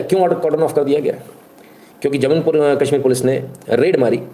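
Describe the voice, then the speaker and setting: A man's voice: a little high, warm tone. A man hosting live news commentary, speaking emphatically and colloquially with rhetorical questions and bits of English mixed in. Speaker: live commentary show host.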